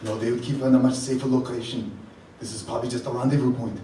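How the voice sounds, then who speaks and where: A man playing a tough, deadpan action-hero father in a live comedic radio play. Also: mid-range voice, fast talker, a little hiss or hum, lightly acted. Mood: deadpan menace. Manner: forceful, melodramatic, deadpan one-liners. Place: rehearsal hall live performance. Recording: echoey hall, distant, music underneath.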